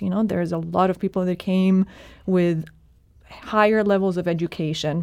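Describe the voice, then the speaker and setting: A woman speaking as a guest in an interview, explaining in a measured way with frequent "um"s. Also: on the low side, mellow. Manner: measured, explanatory, many fillers. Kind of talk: radio interview.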